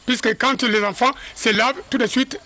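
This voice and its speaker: A man who is a neighbourhood chief, speaking haltingly with many pauses in an outdoor street interview. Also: extremely high pitch, steady tone, bright, forceful tone.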